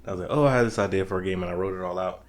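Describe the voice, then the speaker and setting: A man's voice: sits low, rapid-fire. A man co-hosting a show, chatting casually about video games. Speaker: co-host of a video game talk show. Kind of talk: casual chat.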